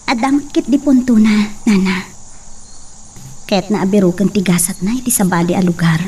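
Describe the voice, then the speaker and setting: A young woman with an Ilocano accent playing a gentle, hopeful village sweetheart. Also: medium pitch, normal speed, natural voice. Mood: reassuring. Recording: distant mic with faint noise. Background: crickets and insects.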